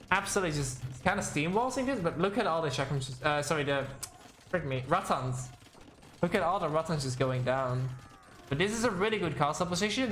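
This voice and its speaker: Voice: medium pitch, a bit bright, echoey room, slight background noise. A game caster giving casual live commentary and chatting with viewers, rambling, thinking aloud and often self-correcting.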